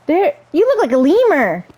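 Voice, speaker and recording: A woman giving casual let's-play commentary, chatting and thinking out loud as she plays and reads bits aloud. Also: high voice, wildly swooping pitch, unhurried. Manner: chatty, thinking out loud, trails off and repeats herself. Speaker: gamer playing and reading game dialogue aloud.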